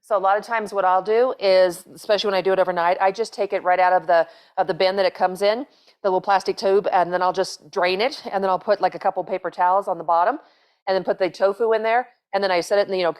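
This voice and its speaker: A woman's voice: mid-range voice, steady tone, fast, smooth. A middle-aged woman hosting a cooking demonstration in a home kitchen, talking casually and rambling with fillers and restarts on a lapel mic. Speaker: cooking show host, chef.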